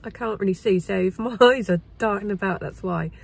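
A woman in her thirties with a cold, sounding croaky and bunged up, chatting casually to her phone camera in a parked car and then in a farm shop. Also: medium pitch, fairly quick, slight background noise. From England. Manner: chatty, bubbly, rambling asides. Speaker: vlogger. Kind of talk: casual vlog chat. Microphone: phone held at arm's length.

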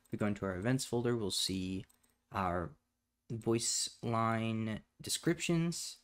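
Low-voiced man walking through a software tutorial. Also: slow. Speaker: tutorial host. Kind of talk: step-by-step software tutorial narration. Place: indoors at a computer, voice over screen capture.

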